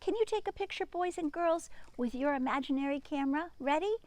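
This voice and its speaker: A woman teacher reading a picture book aloud and chatting to young children in an expressive, sing-song manner, with hushed asides. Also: sits high, fairly quick, no echo.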